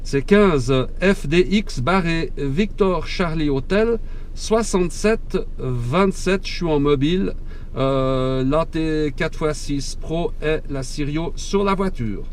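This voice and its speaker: Slightly high-voiced man chatting on radio, noisy car. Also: slow pace. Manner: friendly, talkative, spells out call signs. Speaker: amateur CB radio operator. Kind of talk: casual radio contact chat with call signs. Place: inside a parked car, mobile station. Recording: clear noise, recorded in a vehicle.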